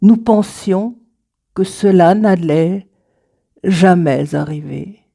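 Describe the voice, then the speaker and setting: Very slow, mellow woman reciting poetry. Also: lowish voice, vocal fry. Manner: measured, deliberate phrasing with dramatic pauses. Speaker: poet reading own work.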